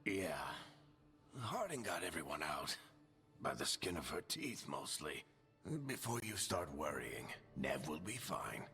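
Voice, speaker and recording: A deep, wry wounded veteran. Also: male voice, sing-song, a little slow, sharp tone, faint music behind, exaggerated voice. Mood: wry, weary. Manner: wry, encouraging. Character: wounded, wry, fatherly veteran companion resting in bed. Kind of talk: fantasy video game acting.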